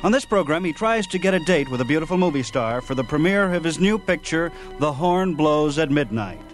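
A man's voice: on the high side, expressive, fairly quick. A man hosting a radio program with formal, announcer-like scripted narration. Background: music.

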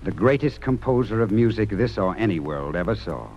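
A noisy old radio drama recording of an actor as a stern American music judge. His voice is low and swings widely in pitch as he speechifies earnestly, with remorse underneath. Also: expressive, a little fast, a little mellow, highs cut off, natural voice. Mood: stern lecturing.

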